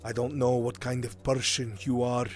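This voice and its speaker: A man playing a grave, earnest character in a fantasy roleplay, speaking deliberately with dramatic pauses. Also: medium pitch, level tone, soft background music, lightly acted. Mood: urgent pleading. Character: grave, earnest man pleading for a lost enslaved friend. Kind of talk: in-character improvised fantasy acting.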